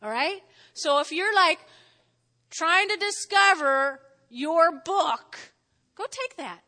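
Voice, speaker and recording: A woman pastor with a New Jersey accent, preaching a sermon in a lively, conversational way and often checking in with "all right?". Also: very high-pitched, takes it slowly. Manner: lively, spells words out, frequent "all right?" check-ins. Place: church sanctuary. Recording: long hall reverb.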